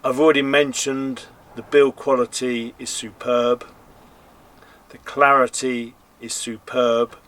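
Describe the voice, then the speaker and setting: A man giving an informal gear review, explaining things in a measured, careful way. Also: medium pitch, flat delivery, a bit bright. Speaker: presenter reviewing and testing gear. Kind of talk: informal product review commentary.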